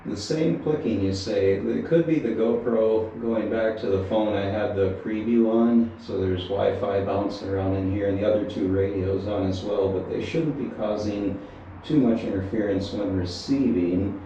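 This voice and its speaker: A man's voice: lowish voice, fairly even intonation, moderate pace, dark tone, highs cut off. A man narrating a casual radio field test in a plain, matter-of-fact way, recorded inside a small metal tower. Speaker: reviewer testing walkie-talkie radios. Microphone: action camera. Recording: roomy echo, distant mic, faint noise.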